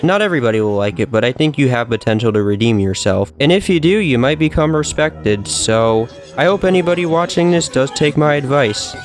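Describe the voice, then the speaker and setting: A man delivers casual video commentary at an ordinary male pitch, with music playing behind him. He sounds earnest and emphatic, leaning on repetition to press his opinions home. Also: animated, brisk, few pauses. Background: background music.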